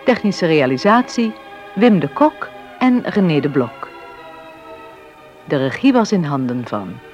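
A woman's voice: low voice, very animated, unhurried. A woman radio announcer reading scripted closing credits. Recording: dull radio sound, loud music. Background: music.

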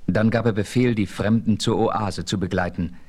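A man playing a frontiersman hero who narrates his adventure in a measured, authoritative way in a German radio play. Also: on the low side, fairly even intonation, darkish tone, natural voice. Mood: narrating.

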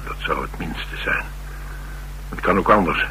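Deep-voiced, troubled Dutch ex-soldier. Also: male voice, unhurried, bright, forceful tone, poor audio. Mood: troubled, uneasy. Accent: Netherlands Dutch. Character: troubled, blunt ex-soldier man, restless drifter. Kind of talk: radio drama dialogue acting. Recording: radio drama with clear hiss and hum.

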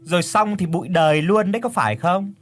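A man's voice: very animated, moderate pace, faint music behind. A man voicing a scornful middle-aged mother who mocks and scolds. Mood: prying. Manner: mocking, scolding, high and loud.

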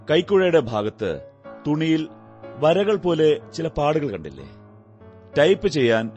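Radio drama detective: observant, condescending, deliberate and instructive, with animated, swooping intonation. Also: male voice, fairly high pitch. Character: brilliant, self-assured male detective, observant and condescending.

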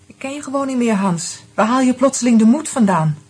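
An actress playing a guarded, bitter ex-wife in a radio drama, speaking in a cool, pointed, sardonic way. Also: female voice, on the high side, lively intonation, fairly quick, darkish tone, husky. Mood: bitter, knowing. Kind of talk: Dutch radio play dialogue.

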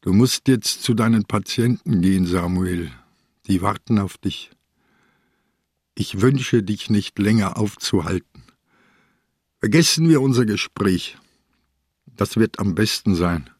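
A shrewd old police inspector with a low, husky voice. He speaks tersely and dryly, unhurried and stubborn, like a man used to his bed. It is a radio crime drama, recorded dry and close. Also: a little mellow, dry recording. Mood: calm, dismissive.

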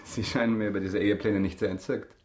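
Radio drama lead: man playing calculating aristocrat, low husky voice, courteous, deliberate, quietly insinuating. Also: flat delivery, close to an everyday voice. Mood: curious. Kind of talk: German radio drama acting.

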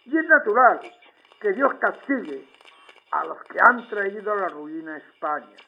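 Through a dull old radio filter comes a high-pitched fascist general. He is pompous and taunting, boasting and sneering in the style of 1930s wartime propaganda. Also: lively intonation, moderate pace, gravelly, slight background noise. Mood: scornful, gloating. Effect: old radio broadcast filter.

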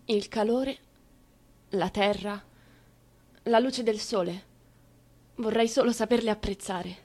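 An actress playing a moody, dreamy young German woman in wartime, in an introspective theatrical monologue of measured short phrases. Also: mid-range voice, darkish tone. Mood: wistful, confiding.

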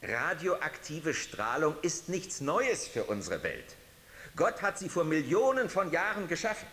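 In a long hall reverb, a man speaks as an assured orator in a radio drama. His fairly high voice moves animatedly through emphatic, repeated declarations. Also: big pitch swings, a little slow, fairly bright tone. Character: assured male orator calmly declaring radiation natural. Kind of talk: public speech in radio drama.

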